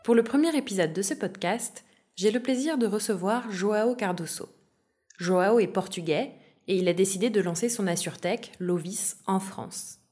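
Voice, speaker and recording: A woman hosting a podcast, reading a clear, upbeat intro and then asking the guest questions. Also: medium pitch, normal speed, darkish tone. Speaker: podcast host. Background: music.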